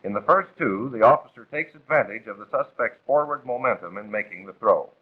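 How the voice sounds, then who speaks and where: A man's voice: on the low side, lively intonation, fairly quick, breathy edge. An American man narrating a 1940s instructional film from a script in a formal, authoritative, instructive manner. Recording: muffled, phone-like vintage film soundtrack.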